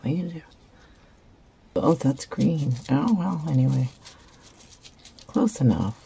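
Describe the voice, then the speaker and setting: A woman hosting a resin craft video, raspy after a concert, chatting in a rambling, self-deprecating way while she works. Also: deep voice, expressive, takes it slowly, warm tone. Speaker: resin craft channel host. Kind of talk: casual chat while crafting. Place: craft table indoors.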